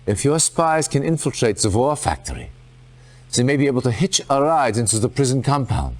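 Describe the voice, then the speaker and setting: A man playing a stern, resolute military commander in a video game, speaking in a formal, dramatic, deliberate way. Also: medium pitch, big pitch swings. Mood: urgent.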